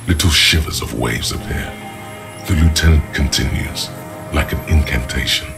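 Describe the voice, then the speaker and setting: An actor playing a grave, observant inner-voice narrator in a video game, speaking in measured, portentous literary prose. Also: male voice, extremely low pitch, gravelly, music in the background.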